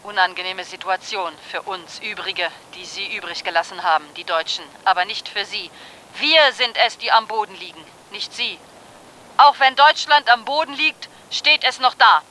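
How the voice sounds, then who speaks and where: An actress playing a bitter, sardonic commentator in a German radio play, delivering an ironic, declamatory monologue. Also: female voice, medium pitch, normal speed, sharp tone, a little hiss or hum, close to an everyday voice, poor audio. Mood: bitter irony.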